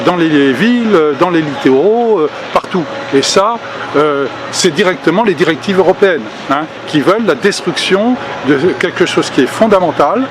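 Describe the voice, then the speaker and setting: An older man speaking at a public meeting, earnest and hesitant, with many fillers and restarts. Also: on the high side. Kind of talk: spontaneous political speech. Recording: outdoor recording with street noise.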